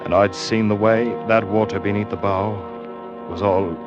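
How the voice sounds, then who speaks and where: A man playing a watchful seaman who narrates a classic radio drama with deliberate, weighted pauses. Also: fairly low pitch, fairly even intonation, muffled recording, lightly acted. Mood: grim. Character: weathered, watchful seaman recounting his voyages. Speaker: narrator and character actor. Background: music.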